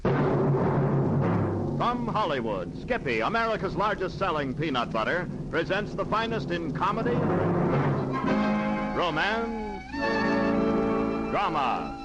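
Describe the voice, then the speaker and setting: A man announcing as a polished, folksy 1940s radio pitchman. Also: big pitch swings, slow pace, long pauses, lo-fi sound, over loud music. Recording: vintage 1940s radio transcription.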